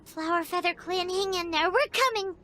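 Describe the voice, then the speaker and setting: A woman voicing a tiny, plucky, childlike flying companion in a video game, with a squeaky voice and an earnest manner. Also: normal speed, heavy background noise. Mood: determined. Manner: refers to self in third person, earnest. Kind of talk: video game character acting. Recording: music behind the voice.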